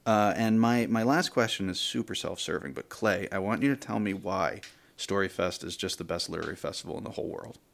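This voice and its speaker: Male radio interviewer, slightly low voice, casual conversational delivery. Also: a little fast, vocal fry, a bit bright.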